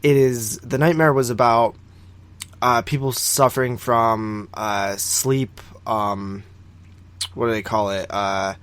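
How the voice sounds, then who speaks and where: A man co-hosting a podcast, chatting casually about films in a halting, rambling way that often doubles back. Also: mid-range voice, steady tone, fairly bright tone, clear, resonant tone. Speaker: podcast co-host. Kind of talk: casual film review chat.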